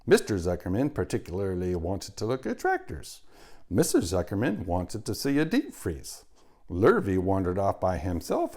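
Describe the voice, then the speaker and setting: A man, a teacher, reading a children's chapter book aloud with expressive storytelling. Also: on the low side.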